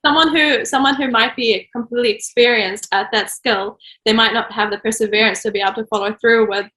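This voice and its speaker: Bright-voiced woman author, echoey room. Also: on the high side, steady tone, fairly quick. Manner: laughs often, chatty, many "like"s. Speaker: children's book author and illustrator. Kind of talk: casual interview chat.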